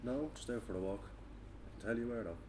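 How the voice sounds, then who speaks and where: A man acting an awkward, guarded Irish man with a troubled past. His speech is terse and hesitant, in a lowish voice. It is a low-key drama read with faint room noise. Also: fairly quick, a little mellow, natural voice.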